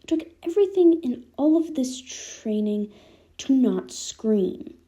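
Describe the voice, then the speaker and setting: A woman's voice: high-pitched, very animated, slow, dark tone. A woman reading fan fiction aloud as scripted narration. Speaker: podfic reader.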